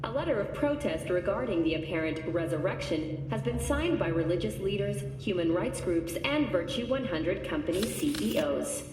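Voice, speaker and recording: A woman playing a brisk, formal television news anchor, reading a scripted bulletin in a video game. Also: mid-range voice, animated, darkish tone, natural voice. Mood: formal, grave. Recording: roomy, distant, faint noise.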